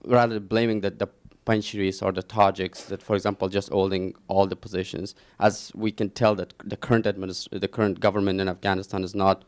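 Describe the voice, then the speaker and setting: A man's voice: deep voice, flat delivery, fairly quick. A man in the audience at a panel, talking at length with many fillers such as "kind of, like". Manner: rambling, heavy filler words. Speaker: audience member.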